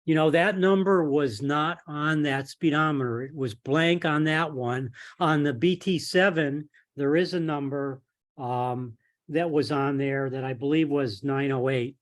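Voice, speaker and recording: A man on a conference call discussing a technical problem casually, with halting, hesitant phrasing. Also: on the high side. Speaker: club member asking for technical help. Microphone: telephone or conference call line.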